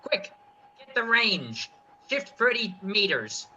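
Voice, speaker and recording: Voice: medium pitch, big pitch swings, slow pace, sharp tone, highs cut off, slight background noise, full character voice. An actor playing a commanding artillery officer in a radio play, giving clipped firing orders.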